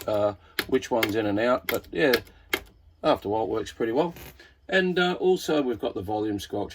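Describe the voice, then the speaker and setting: A man's voice: on the low side, slightly rough. An Australian man presenting a casual hobbyist product walkthrough in a laid-back, informal, slightly hesitant way.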